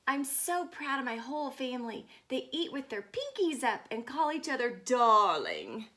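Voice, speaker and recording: A woman's voice: sits high, very animated, moderate pace, sharp tone, heavily acted. A woman voicing an enthusiastic little girl from a storybook who loves all things fancy, speaking dramatically and explaining grand words. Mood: excited.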